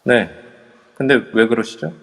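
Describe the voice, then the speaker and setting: A man voices a determined young man who leads a small group. He speaks politely but firmly in a lowish voice. It rings with long reverb from a phone voice chat. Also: expressive, a little slow. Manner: polite and firm.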